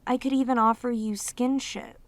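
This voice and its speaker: A woman playing a sweet, clingy demoness in a romantic audio roleplay, speaking tenderly and haltingly with intense devotion. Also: a little high, fairly even intonation, lightly acted. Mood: tender, pleading. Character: sweet, devoted, clingy yandere demoness, long sealed away.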